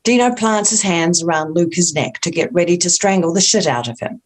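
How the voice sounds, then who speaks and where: A woman reads scene descriptions from a screenplay. Her pitch is slightly on the low side. It is scripted narration for a table read. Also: fairly quick. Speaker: stage-direction narrator.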